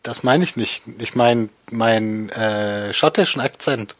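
A man's voice: mid-range voice, unhurried, dry recording. A man co-hosting a casual podcast, dropping short, teasing comments into the conversation. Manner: dry, teasing interjections. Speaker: podcast co-host. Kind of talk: casual chat.

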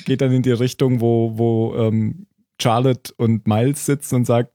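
A man's voice: mid-range voice, flat delivery, unhurried, dark tone, no room sound, clean studio sound. A man chatting casually as a podcast host recapping a TV episode, thinking aloud hesitantly and often chuckling.

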